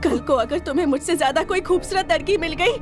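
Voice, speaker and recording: A woman's voice: high voice, expressive, fairly quick, over music. An actress playing a vengeful young bride in a melodramatic screen drama, confronting her murderous husband in a theatrical, accusing manner. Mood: bitter, accusing.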